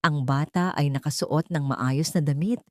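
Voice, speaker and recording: A woman's voice: moderate pace, a little mellow, no room sound, clean studio sound. A woman with a Filipino accent narrating a dramatic audio story in the first person, lifting her voice for the characters' lines. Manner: rises in pitch and volume for quoted dialogue.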